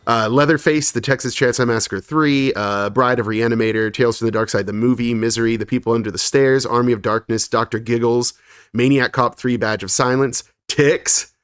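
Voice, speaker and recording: A man's voice: medium pitch, fairly even intonation, a little fast, a bit bright, clear, resonant tone, dry recording. Guy on a podcast rattling off movie credits, laughs a lot, mid voice, dry close mic. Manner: rattles off long lists with frequent laughs and fillers. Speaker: podcast co-host. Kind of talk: casual podcast chat.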